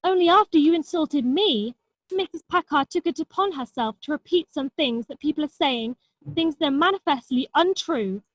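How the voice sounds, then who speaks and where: Young woman as a high-voiced, dramatic society lady. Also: lively intonation, moderate pace, lo-fi sound. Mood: defensive. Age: young adult. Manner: earnest, melodramatic, emphatic. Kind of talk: stage play acting.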